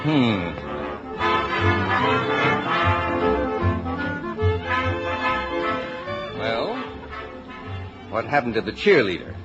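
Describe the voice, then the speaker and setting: A man's voice: mid-range voice, wildly swooping pitch, slow. A man playing a fussy, pompous, old-fashioned middle-aged father with a dry, clipped delivery in a 1950s radio comedy. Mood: stern, dry. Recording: dull old radio recording, music, audience.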